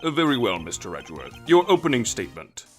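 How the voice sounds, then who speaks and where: Older male game judge, acted: formal, dithering, extremely animated intonation. Light music underneath. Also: mid-range voice, quick pace, natural voice. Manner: formal, hesitant. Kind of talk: video game fan dub acting. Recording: faint background music behind voice.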